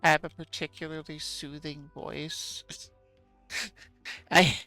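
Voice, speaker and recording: A man talks casually with his viewers while he plays, musing aloud and poking fun at himself. His voice is bright and smooth, with some vocal fry at the ends of phrases. The sound is close and dry at a desk mic. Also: a little high, no room sound. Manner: musing, self-deprecating, answers chat. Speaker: streamer playing a game. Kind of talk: casual gameplay commentary, chatting with viewers.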